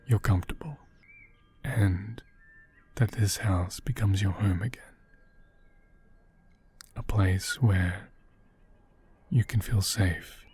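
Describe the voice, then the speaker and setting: A man acting as a gentle, protective male caretaker in an ASMR roleplay. His voice is low and mellow, breathy and often whispered. He speaks very slowly, with tender, soothing reassurance. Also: a little hiss or hum. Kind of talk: soft-spoken ASMR roleplay, partly whispered.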